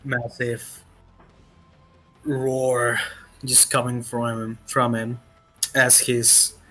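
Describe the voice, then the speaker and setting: A man running a tabletop roleplaying game as game master, talking in a hesitant, halting way. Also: mid-range voice, bright, forceful tone, poor audio.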